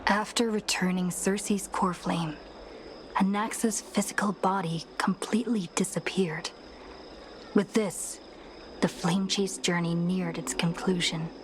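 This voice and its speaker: A woman's voice: lowish voice, fairly even intonation, slow pace, noisy background. A woman playing a solemn storyteller, narrating an epic fantasy tale for a video game. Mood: solemn, measured.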